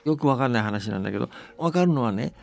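A man's voice: mid-range voice, animated, fast talker, gravelly. A man hosting a folktale programme, telling stories and commenting on them in a folksy, chatty way that seeks agreement. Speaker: host reading and explaining folktales on a radio programme. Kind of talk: storytelling and casual commentary.

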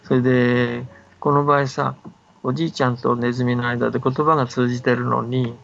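Older man, very gravelly, explaining patiently. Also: medium pitch, flat delivery, moderate pace, a bit bright, soft background music. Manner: explains patiently, often seeking agreement. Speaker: folktale scholar and storyteller. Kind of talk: casual explanatory radio talk about folktales. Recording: dull band-limited audio, faint background noise.

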